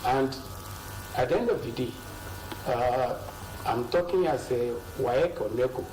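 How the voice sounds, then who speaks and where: An older man with a Nigerian accent, a linguistics professor speaking as a studio guest in a slow, measured way with many pauses. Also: mid-range voice, darkish tone. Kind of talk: studio interview. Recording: roomy and a bit distant, faint noise.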